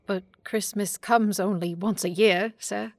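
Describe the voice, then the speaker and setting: A woman's voice: medium pitch, steady tone, measured pace, no echo, close to an everyday voice. Woman playing meek clerk, close dry sound. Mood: meek, hopeful. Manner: deferential, soft-spoken. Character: meek, polite male clerk, cheerful underling. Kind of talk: audio drama acting.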